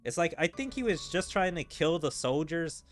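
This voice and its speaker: A man's voice: on the high side, big pitch swings, moderate pace, faint music behind. A man streaming a game and giving casual commentary in rambling, hesitant explanations peppered with filler words. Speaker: gaming streamer.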